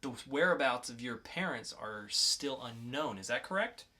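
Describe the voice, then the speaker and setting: A man acts out a mysterious interrogator from a comic-book drama. He is formal and deliberate, coaxing and probing in a calm way. The voice is mid-pitched and bright, with a forceful edge.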